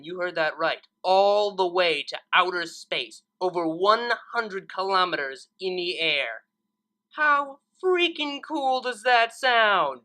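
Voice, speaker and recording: A woman host with a slightly low, bright voice. She talks in a casual, emphatic way with wide swings in pitch, as eager in chatty updates as in storytelling. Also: poor audio. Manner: enthusiastic, emphatic. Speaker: channel host and story reader. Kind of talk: casual vlog-style update and story narration.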